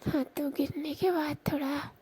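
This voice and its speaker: A woman telling an intimate confessional story in a hushed, breathy and halting way. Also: sits high, steady tone. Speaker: storyteller in a staged call.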